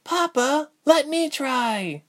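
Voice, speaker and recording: A man's voice: very high-pitched, expressive, slow, a bit bright, pitched above the performer's own voice, full character voice. A man voicing an earnest, grieving little girl in a storybook narration. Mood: pleading.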